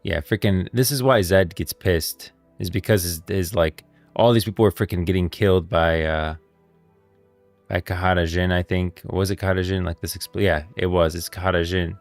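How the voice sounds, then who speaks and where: A man with a low voice talks casually on a livestream, thinking aloud in an informal, rambling way. The sound is dry and close, with music playing behind him. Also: fairly even intonation, moderate pace, no echo. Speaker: streamer. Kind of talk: casual livestream lore commentary.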